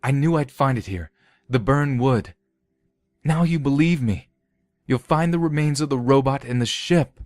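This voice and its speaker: A man's voice: medium pitch, normal speed, a little mellow, no room sound, studio quality, pitched above the performer's own voice, natural voice. A man voicing a desperate, frightened man pleading for his life in an urgent, shaky way, in a story reading.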